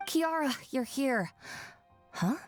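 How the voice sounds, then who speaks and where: Easygoing game shopkeeper, slow-paced and lively in tone, obliging, faint music behind. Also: female voice, high-pitched. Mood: surprised, welcoming. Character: gentle, easygoing female shopkeeper. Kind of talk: video game voice acting.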